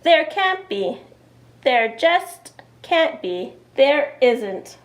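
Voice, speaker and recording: Woman playing a brisk, high-voiced storybook mum. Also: very animated, heavily acted. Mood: disbelieving. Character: brisk, dismissive storybook mother of a small boy. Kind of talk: children's picture-book read-aloud.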